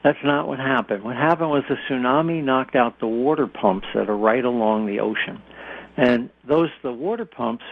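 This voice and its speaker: Gravelly older man on a phone line. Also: medium pitch, moderate pace. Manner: plain-spoken explainer with everyday comparisons. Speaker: expert guest, former nuclear industry executive. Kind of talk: explanatory radio interview by phone. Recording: narrow, phone-like radio line.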